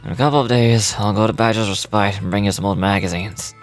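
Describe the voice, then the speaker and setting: Low-voiced, laconic male fighter played by an actor. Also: fairly even intonation, quick pace, soft background music, lightly acted. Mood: reluctant, resigned.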